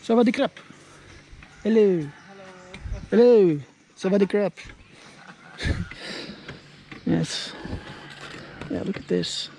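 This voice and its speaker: A man with a fairly high voice, thinking aloud in an easygoing way as he films himself outdoors. A faint outdoor hiss sits under his voice, picked up by the camera mic. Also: takes it slowly, gravelly, pauses often. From Norway. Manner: relaxed, chatty, thinking aloud. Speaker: travel vlogger. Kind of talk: casual travel commentary to camera. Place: outdoors at a jungle elephant camp.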